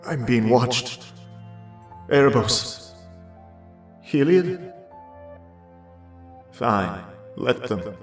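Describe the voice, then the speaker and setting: An actor playing an anguished young male warrior, dazed yet defiant, in a halting fantasy audio drama delivery. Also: mid-range voice, sing-song, faint music behind, full character voice. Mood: grimly defiant. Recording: roomy echo.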